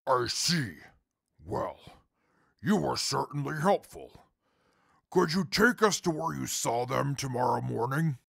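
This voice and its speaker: A man voicing a stern, impatient, superior non-human companion, husky and curt, in a comic audio drama. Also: mid-range voice, takes it slowly, no room sound, studio quality, heavily acted. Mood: businesslike. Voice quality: very gravelly, husky. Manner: curt, commanding, deadpan, disdainful. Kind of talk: comic post-apocalyptic audio drama acting.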